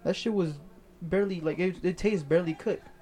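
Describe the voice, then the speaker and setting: A slightly higher-pitched man chatting casually with his friends. He is blunt and profane, joking around between complaints. He talks plainly with no strong accent. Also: brisk, mellow. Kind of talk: casual chat among friends.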